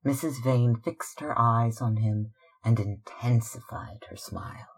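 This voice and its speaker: Slow, breathy man reading literature. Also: mid-range voice. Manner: measured, dramatic. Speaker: audiobook reader. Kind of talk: scripted literary narration.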